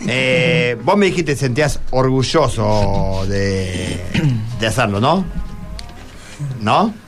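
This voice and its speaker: A male radio host speaks at a middling pitch with a Rioplatense accent. He talks without a script, halting and repeating words, with faint music behind him. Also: expressive. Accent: Rioplatense Argentine. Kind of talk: unscripted radio hosting.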